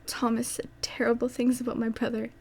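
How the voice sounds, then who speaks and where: A woman's voice: a little high, monotone, a little fast, warm tone, slightly rough. A woman playing a grieving but defiant young woman in an amateur audio drama, earnest and emotional. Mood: hurt, worried.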